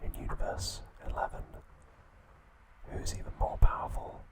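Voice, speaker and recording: A man hosting an ASMR video, narrating trivia in a soft, deliberate, unhurried whisper. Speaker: ASMR host.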